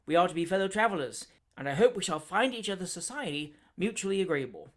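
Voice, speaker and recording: A man voicing a mild, courteous elderly gentleman who speaks politely and calmly. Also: high voice, fast. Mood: cordial.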